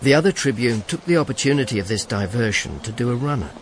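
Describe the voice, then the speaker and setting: An actor playing a wry, world-weary Roman informer in a radio drama, dry and sardonic. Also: male voice, medium pitch, animated, fast, background noise, close to an everyday voice. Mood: dry, confiding. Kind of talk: radio drama dialogue and first-person narration.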